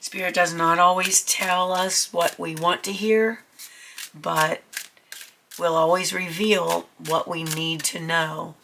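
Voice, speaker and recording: Woman reading tarot cards, bit of a cold, gravelly low-ish voice but bright, lots of ums. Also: steady tone, slow, a little hiss or hum. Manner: chatty, reassuring, many ums. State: getting over a cold. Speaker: tarot reader. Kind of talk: casual tarot reading to camera.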